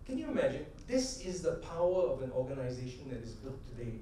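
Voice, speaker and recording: Animated man on a conference stage. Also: mid-range voice, fast talker, a little hiss or hum. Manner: animated storytelling, gestures, rhetorical questions. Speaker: conference speaker. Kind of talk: stage keynote talk with anecdotes. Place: large conference hall stage. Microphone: headset mic. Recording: echoey hall, heard from a distance.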